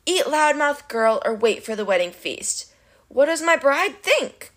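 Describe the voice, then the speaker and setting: A woman voicing a huge, slow-witted male cyclops who bellows and growls in slow, broken speech in an audiobook. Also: high-pitched, big pitch swings, fairly bright tone, voice pushed up, full character voice. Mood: gloating, pondering. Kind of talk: audiobook character voice.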